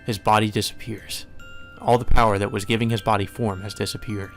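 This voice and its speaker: A man reading a visual novel aloud as dramatic, solemn and measured narration. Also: fairly low pitch, flat delivery, a bit bright. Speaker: narrator, let's play reader. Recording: music behind the voice.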